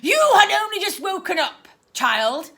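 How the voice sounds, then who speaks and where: Shrill storybook villain aunt, put on by a woman reader: loud, clipped, syrupy-sweet, bright edge. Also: big pitch swings, a little slow, gravelly, full character voice. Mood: theatrical menace. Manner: loud, clipped, sickly-sweet baby talk. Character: shrill scheming cruel older aunt.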